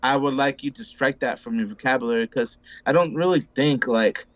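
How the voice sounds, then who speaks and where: A man's voice: mid-range voice, fairly quick, dry recording, lo-fi sound. A man in his forties, talking casually as an interview guest, rambling with lots of restarts and repeated words, and calling people bro and dude. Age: 40s. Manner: laid-back, says bro and dude a lot. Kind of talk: casual conversation.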